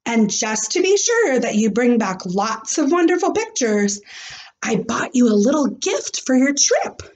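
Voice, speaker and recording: A woman's voice: on the high side, big pitch swings, a little mellow. A woman voicing a kindly male schoolteacher in a storybook, patient, upbeat and explanatory. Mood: warm. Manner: explanatory, measured. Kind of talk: expressive storybook read-aloud.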